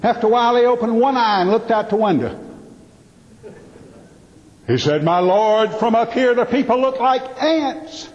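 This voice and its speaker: A Southern American man performs folksy comic storytelling on stage in a high-pitched voice. He pauses and stretches out his punchlines. The sound is muffled and rings with the long echo of a big hall. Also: animated. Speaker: professional humorous speaker. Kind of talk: stand-up storytelling to a live audience. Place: large indoor hall with a live audience. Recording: muffled, echoey hall PA with some noise.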